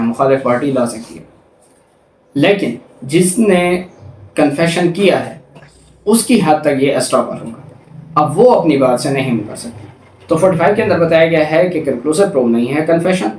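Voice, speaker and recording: A man's voice: a little high, a little mellow. A Pakistani man giving an explanatory lecture in a didactic manner, mixing in English technical terms. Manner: didactic, alternating between Urdu explanation and English legal terms. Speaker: law lecturer. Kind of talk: explanatory lecture on legal articles. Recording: echoey room, distant microphone.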